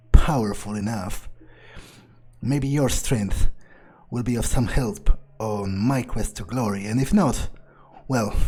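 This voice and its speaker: A man playing a cold, vengeful vampire obsessed with his authority, improvising deliberately and wryly in character for a game playthrough. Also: fairly low pitch, a little mellow, slightly rough. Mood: menacing. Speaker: gameplay roleplayer.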